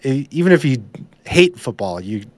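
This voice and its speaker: A man's voice: medium pitch, sing-song, moderate pace, no echo. An American man chatting casually in a blunt, slangy way with some swearing. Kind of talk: casual chat.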